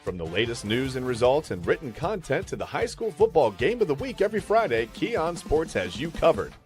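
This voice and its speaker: Animated male ad announcer. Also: medium pitch, brisk, soft background music. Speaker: advertisement announcer. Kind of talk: scripted promotional narration.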